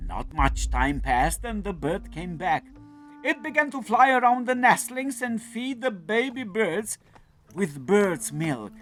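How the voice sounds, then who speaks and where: A man narrating a scripted children's fairy tale in a dramatic, deliberate storytelling manner. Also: high voice, fairly bright tone. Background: music.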